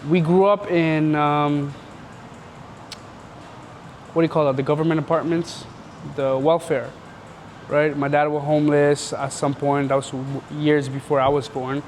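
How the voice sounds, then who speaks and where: Young man from Canada, loose and slangy storyteller, slightly high voice, faint background noise. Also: little pitch movement, a little slow, darkish tone. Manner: rambling and slangy, full of filler words. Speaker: entrepreneur telling his story. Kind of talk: casual storytelling interview.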